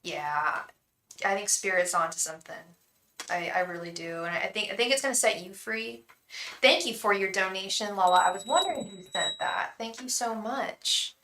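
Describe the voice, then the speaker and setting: A young woman gives a live tarot reading in a chatty, reassuring tone. Her voice is bright and clear, with a calming quality. She often restarts her sentences, and the room around her has a noticeable echo. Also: mid-range voice, normal speed, slight background noise. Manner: addresses viewers fondly as "love". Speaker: tarot reader and psychic.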